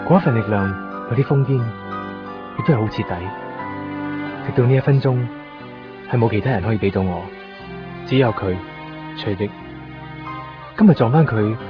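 Radio drama lead, male actor: downcast Hong Kong man in lovesick monologue, lowish voice, music bed. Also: close to an everyday voice. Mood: wistful. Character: heartsick man brooding over a lost love. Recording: dull 1990s radio recording, music behind. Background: clear background music.

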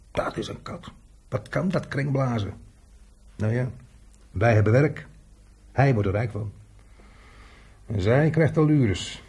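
An actor playing a chatty, jovial unemployed handyman in a radio drama, talking colloquially with a raspy, husky voice. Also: mid-range voice, dark tone. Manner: talkative, colloquial, chuckling. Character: chatty, jovial unemployed workshop handyman showing a newcomer around.

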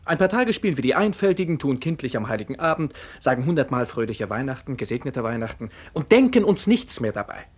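A critical, earnest young son of nineteen in a late-sixties radio drama. His voice is husky and mid-pitched with lively swings, emphatic and argumentative. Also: quick pace, few pauses. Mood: bitter, indignant. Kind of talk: late 1960s radio play acting.

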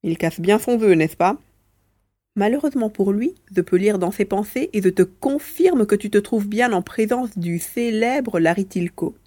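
Woman as a mellow, cheeky little mouse-beaver. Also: medium pitch, lively intonation, moderate pace, no room sound, natural voice. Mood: smug amusement. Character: small male mouse-beaver mutant, playful, boastful, cheeky telepath. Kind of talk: audio drama acting.

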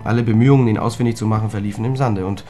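An actor playing a sober scholarly man in a German radio drama, recounting a tragic history deliberately and precisely. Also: on the low side, fairly even intonation, dark tone, natural voice. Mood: grave, sombre. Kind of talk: German radio drama dialogue, explanatory storytelling. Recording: studio radio play with music.

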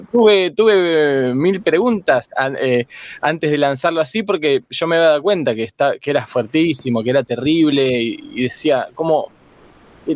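An Argentine-accented man speaking as an interview guest about his work, halting and reflective as he goes. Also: a little high. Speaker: interview guest, documentary maker. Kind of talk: spontaneous interview talk.

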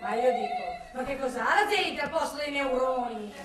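A woman's voice: high-pitched, expressive, normal speed. An actress playing a brusque, sardonic woman forensic police expert in a theatrical radio drama. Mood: irritated, bossy. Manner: exasperated, sardonic, theatrical, talking at unheard colleagues. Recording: roomy, distant microphone, faint noise.

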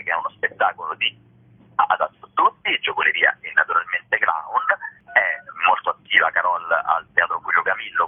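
Guy with a low raspy voice, explaining stuff hesitantly, sounds like a phone call on radio. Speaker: theatre festival organiser and presenter. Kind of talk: informal promotional interview. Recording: muffled lo-fi phone-like radio line.

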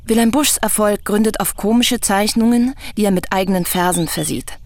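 A woman's voice: mid-range voice, normal speed, slight background noise, lightly acted. An actress playing a bold, talkative woman visitor in a German radio play, articulate, insistent and teasing. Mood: challenging.

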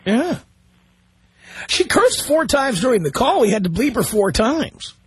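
A man's voice: extremely high pitch, big pitch swings, measured pace, slightly rough, no room sound. A man hosting a call-in talk radio show, teasing and blunt with his callers in a chatty studio manner. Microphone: studio microphone.

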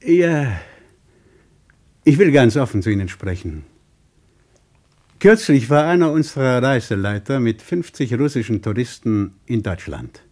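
An actor playing a courteous, evasive Soviet travel official in a radio play, speaking formally and hesitantly. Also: male voice, medium pitch, animated, a little slow, pauses often, darkish tone, natural voice. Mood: confiding. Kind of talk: German radio play acting.

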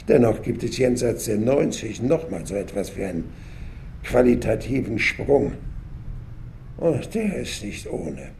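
A man in his nineties with a gravelly, creaky voice. He muses slowly and wryly in a radio play, his tone rising and falling expressively over faint noise. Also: fairly low pitch, darkish tone, heavily acted. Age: older. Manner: musing, deliberate, wry. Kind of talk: radio drama monologue.

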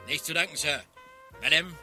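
An actor playing a helpful, easygoing sergeant in a German radio crime drama, courteous and folksy. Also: male voice, fairly high pitch, normal speed, sharp tone. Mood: helpful, reassuring. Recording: old radio play over prominent music.

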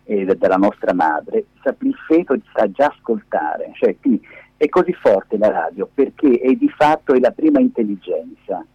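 A husky, lowish-voiced man speaks over a muffled telephone line as a radio guest. He tells things conversationally and emphatically, with very lively intonation, repeating key words. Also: normal speed, dry recording. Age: 50s. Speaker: radio interview guest, performing media teacher. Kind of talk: animated conversational storytelling. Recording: dull, muffled phone call.

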